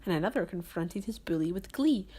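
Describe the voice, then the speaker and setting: A woman reading rhyming storybook narration as a storyteller, with theatrical, drawn-out emphasis. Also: on the low side, expressive, mellow, breathy edge.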